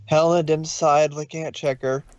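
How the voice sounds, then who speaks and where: A man's voice: a little high, level tone, moderate pace, dry recording, lo-fi sound. A man narrating a story informally, with a loose delivery in which he sometimes corrects himself. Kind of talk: informal fan fiction reading.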